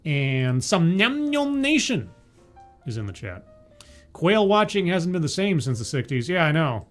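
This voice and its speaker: A man streaming live, mocking a talk he is watching with deadpan, stammering asides and greeting his chat. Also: a little high, unhurried, soft background music. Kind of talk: sarcastic live commentary.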